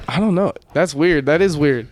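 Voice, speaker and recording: A man's voice: a little high, moderate pace. A man hosting a casual sports podcast, laid-back and asking his co-host questions. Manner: laid-back, asks questions, says "bro". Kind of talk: casual chat.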